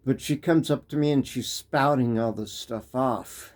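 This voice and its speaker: An American man telling a personal story in a casual, halting way, full of restarts and fillers. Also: medium pitch, a little mellow. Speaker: vlogger. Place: living in Japan.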